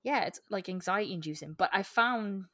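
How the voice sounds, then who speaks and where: A woman's voice: mid-range voice, normal speed, fairly bright tone, no echo, studio quality. A woman co-hosting a podcast, talking in a casual, candid way about books. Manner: candid, informal. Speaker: podcast co-host. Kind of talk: casual book discussion chat.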